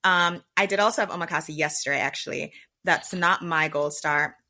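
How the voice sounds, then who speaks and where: Woman, Jamaican accent, podcast co-host, bright tone, lower pitch, casual explaining. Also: moderate pace. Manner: explains at length, laughs often. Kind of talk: casual chat.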